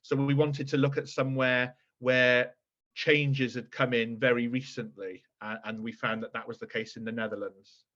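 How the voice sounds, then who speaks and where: A man's voice: medium pitch, little pitch movement, normal speed, fairly bright tone. Man guiding a panel, dull lo-fi sound. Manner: conversational, linking topics and handing over. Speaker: panel co-author guiding the discussion. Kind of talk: panel discussion.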